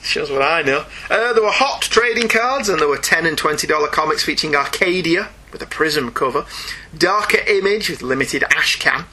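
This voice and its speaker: British male podcast host, wry and mock-exasperated, piercingly bright, faint noise and clicks behind. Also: on the high side, expressive, moderate pace. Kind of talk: casual comic book podcast chat.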